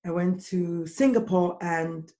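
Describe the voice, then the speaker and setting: A middle-aged woman hosting a podcast, with a deep, husky voice from swollen vocal cords, talking slowly and deliberately when she explains. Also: warm tone. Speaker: podcast host. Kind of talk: casual podcast interview.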